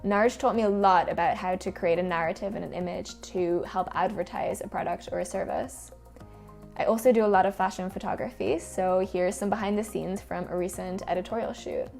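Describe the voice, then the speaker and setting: A woman's voice: mid-range voice, steady tone, fairly quick, creaky, a little mellow, soft background music. A woman of around thirty with an Irish accent, narrating a personal vlog about her own life and work. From Ireland. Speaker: freelance photographer presenting a vlog.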